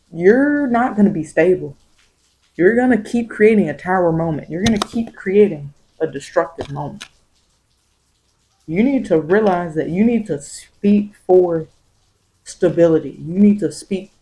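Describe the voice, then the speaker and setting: Dark, mellow-voiced woman reading tarot. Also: fairly low pitch, low-quality recording. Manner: informal, repetitive, emphatic coaching. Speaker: tarot reader. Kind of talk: intuitive spoken tarot reading for ASMR.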